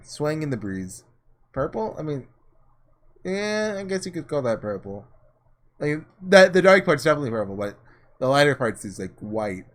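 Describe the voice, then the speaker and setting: A man's voice: a little high, a bit bright. A man doing let's-play commentary, reading a visual novel aloud dramatically and tossing in offhand, sarcastic asides. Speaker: gaming host reading aloud and reacting.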